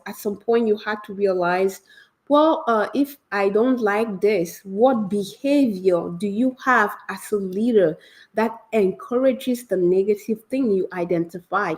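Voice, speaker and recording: Woman, leadership coach, raspy with a cold, energetic, encouraging, live stream talk. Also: medium pitch. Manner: energetic, warm and encouraging, asks many questions. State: developing a cold.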